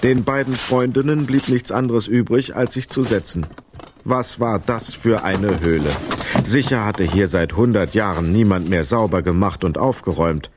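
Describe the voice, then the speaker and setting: A man's voice: on the low side, background noise. A man narrating a scripted children's story as the narrator of a radio play.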